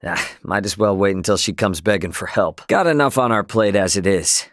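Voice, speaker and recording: An actor with an American accent playing a brash, easygoing spacefaring hero in a video game, with a cocky, slangy, joking delivery. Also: male voice, on the low side, expressive, normal speed, fairly bright tone, dry recording, studio quality. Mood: casual, musing. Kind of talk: video game voice lines, battle barks and chatter.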